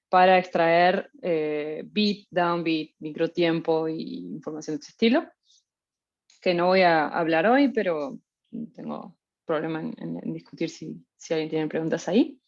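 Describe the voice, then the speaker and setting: A woman with a Uruguayan Spanish accent gives a casual guest lecture, easygoing and full of small fillers and restarts. Her voice sits a little low for a woman. The audio is somewhat muffled and lo-fi. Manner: relaxed, many fillers and restarts. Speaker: guest lecturer, postdoctoral researcher. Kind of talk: informal academic talk.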